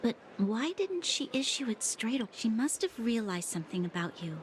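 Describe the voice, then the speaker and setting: A woman's voice: fairly high pitch, very animated, moderate pace, a little hiss or hum. An actress playing an earnest young woman soldier, torn between duty and doubt, in a video game. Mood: reflective. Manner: earnest, reflective, conflicted. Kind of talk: game voice acting. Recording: music in the background.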